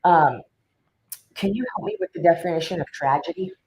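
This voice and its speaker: A woman's voice: fairly low pitch, huge pitch swings, a little fast, darkish tone, slight background noise. A woman co-hosting a motivational livestream as a wellness coach. She speaks directly and urgently to the viewers.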